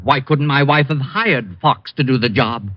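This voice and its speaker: A man's voice: mid-range voice, normal speed, natural voice. A man playing a greedy husband under suspicion in a 1940s radio drama, blustering and defensive. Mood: indignant. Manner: blustering, indignant. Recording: dull lo-fi old radio recording.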